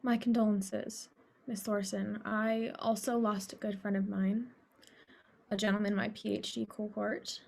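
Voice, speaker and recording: A woman's voice: medium pitch, flat delivery, unhurried, creaky, darkish tone. A woman acting in a documentary play as a sorrowful academic, speaking hesitantly and repeating words in a conversational way. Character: sorrowful woman academic mourning an older colleague. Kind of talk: documentary theater monologue, conversational.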